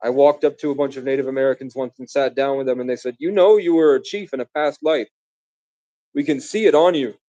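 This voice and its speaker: A man's voice: mid-range voice, quick pace, mellow, no room sound, muffled recording. A man from the Bronx, raised in Vermont, rambling grandly and casually to his viewers on a live stream. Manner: grandiose, meandering, casual slang. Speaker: livestreamer.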